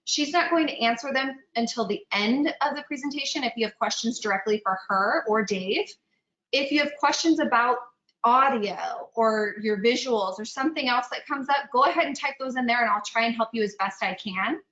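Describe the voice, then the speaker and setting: A woman's voice: fairly high pitch, moderate pace, fairly bright tone. A woman hosting and introducing a webinar as executive director, casual and chatty. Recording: echoey, dull online call audio.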